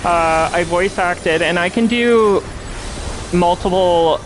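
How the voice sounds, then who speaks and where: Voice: medium pitch, slow, background noise. A game streamer with a nasal voice, chatting casually while playing. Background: music.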